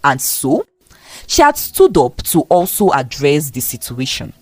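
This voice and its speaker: A woman's voice: low voice, sing-song, measured pace, no room sound. A woman telling a scripted folktale as a dramatic storyteller.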